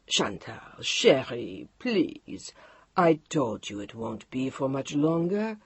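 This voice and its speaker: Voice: mid-range voice, very animated, slow pace, slightly rough, no echo, voice pushed down, exaggerated voice. A reader voicing an exasperated middle-aged businessman who talks curtly and sighs, in an audiobook. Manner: curt, sighing, impatient. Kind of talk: audiobook character voice.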